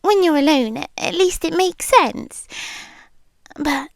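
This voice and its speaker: British woman, high soft half-whisper, unhurried, nervously chatty ASMR roleplay, dry close mic. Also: expressive, no room sound. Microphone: close ASMR microphone.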